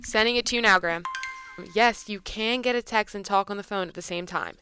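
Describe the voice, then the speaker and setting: A woman's voice: medium pitch, a little fast, pushed and bright, clean tone, no room sound, soft background music, lightly acted. A woman playing a bossy, blunt, impatient teenage niece in an audio comedy drama. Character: bossy, brash teenage niece, matter-of-fact.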